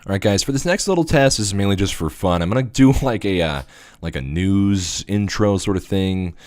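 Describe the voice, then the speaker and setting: A man with a deep voice casually explaining a gear review, with lots of fillers, into a USB studio microphone. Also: animated, a little fast, darkish tone, dry recording. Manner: rambling, filler-heavy explanations. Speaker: tech reviewer.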